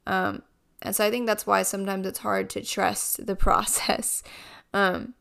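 Woman with a gravelly voice from a cold. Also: medium pitch, flat delivery, a little slow, bright, forceful tone. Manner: earnest, reflective and conversational. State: raspy voice, getting over a cold. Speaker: podcast host. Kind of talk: casual devotional podcast talk.

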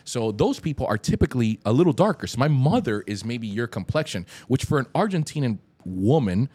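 A man from Miami sits in as a podcast guest. He tells his stories in an emphatic, slangy, relaxed way. His pitch sits mid-range for a man but leaps around with lively swings. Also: a little fast, slightly rough. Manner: animated, emphatic storytelling with slangy asides. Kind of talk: casual chat.

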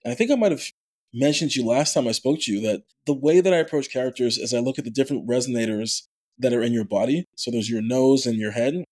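A man, an actor, talking in a casual interview, animated and full of restarts, with a somewhat nasal voice. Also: medium pitch, fast. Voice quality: nasal natural voice. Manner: animated storyteller, many fillers and restarts. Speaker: actor and voice actor, podcast guest.

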